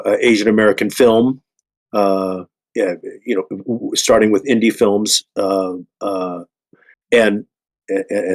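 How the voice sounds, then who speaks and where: A man speaking casually as a panel guest, halting and repeating words, with a modest manner. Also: sits low, slightly rough. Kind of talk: casual self-introduction.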